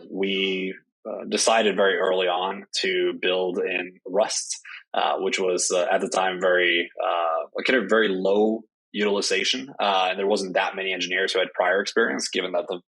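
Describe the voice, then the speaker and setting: A young man from Norway speaks as a company founder in an interview. His voice sits low with a husky, slightly breathy edge. He fills his answers with frequent hesitant "uh"s. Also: fairly bright tone, low-quality recording. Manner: hesitant, many "uh" fillers. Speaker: startup CEO.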